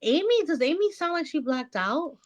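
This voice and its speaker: A high-pitched woman chats casually as a podcast co-host. Her talk is loose and full of filler, and she often acts out what someone might have said. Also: sing-song, normal speed, muffled recording. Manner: acts out imagined dialogue, filler-heavy with many likes. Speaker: book club podcast co-host. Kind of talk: casual chat, book discussion.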